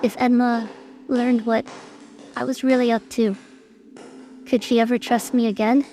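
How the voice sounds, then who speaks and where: Woman playing a slow, high-voiced, timid young streamer. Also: level tone, dark tone, close to an everyday voice. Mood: guilty, nervous. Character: timid, conscientious, gentle young female streamer, easily guilt-ridden. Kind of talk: scripted fan audio drama acting.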